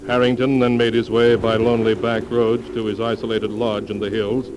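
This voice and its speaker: A man narrating an old-time radio crime drama in a measured, formal storytelling manner. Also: on the low side, flat delivery, over music, close to an everyday voice, poor audio. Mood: sober. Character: sober male narrator of a crime tale.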